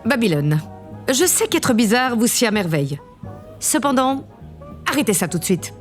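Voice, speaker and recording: An actress plays a vain spaceship president in a comic audio drama. Her voice is on the high side and swoops freely, imperious and pleased with itself, over a bed of music. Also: big pitch swings, normal speed. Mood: exasperated. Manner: self-satisfied, imperious. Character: vain, haughty, bored female president of a spaceship. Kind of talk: comedic audio drama acting.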